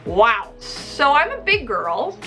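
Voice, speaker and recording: A woman in her thirties telling a personal story casually to camera in an animated, emphatic way, recorded in a room at home. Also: a little high, takes it slowly, soft background music. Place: small indoor room at home.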